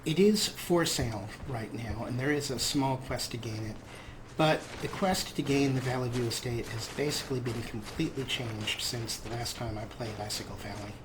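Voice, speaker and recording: A man's voice: medium pitch, normal speed, background noise. A man hosting a let's play, giving casual game commentary with wry, dry humor. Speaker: gaming video host.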